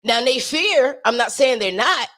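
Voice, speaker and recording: A woman with a naturally raspy, high-pitched voice talks to her livestream viewers in a fervent, sermon-like way. She speaks straight to them, driving each point home by repeating it. Her rough tone stays in every line. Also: expressive, unhurried, a bit bright. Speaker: singer sharing a testimony with viewers. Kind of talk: preachy motivational livestream talk.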